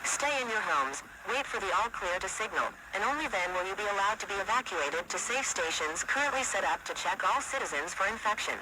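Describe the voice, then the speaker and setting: Male announcer, high voice, formal emergency alert reading, radio-like audio with clear noise. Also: animated, a little fast, hardly any pauses. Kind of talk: scripted emergency alert narration.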